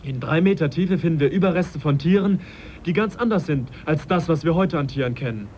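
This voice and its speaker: An actor playing an earnest, courteous young gentleman naturalist in a radio drama, questioning and polite yet persistent. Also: fairly high pitch, expressive, a little fast, slight background noise, close to an everyday voice. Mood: questioning, insistent.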